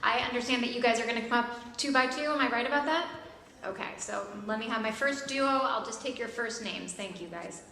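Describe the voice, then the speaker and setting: A woman chairs a public meeting in an informal way, with a bright, forceful voice that sits a little high. She is heard from a distance in a very echoey hall with faint noise behind her. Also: fairly quick.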